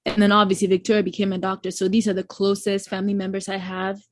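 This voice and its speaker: A young woman in her twenties speaks with a Ghanaian accent at a middle pitch. She sounds relaxed and reflective, leaning on ums and you-knows as she thinks aloud. The sound is dry and close, with no room around it. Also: quick pace, no room sound. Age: 20s. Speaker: guest, incoming medical student. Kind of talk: casual interview chat.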